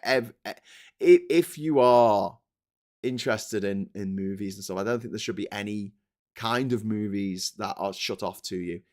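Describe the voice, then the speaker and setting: A man in his forties with a Scouse accent, hosting a casual livestream chat from home, frank and animated, swearing freely as he talks. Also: on the low side. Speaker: host. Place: home room.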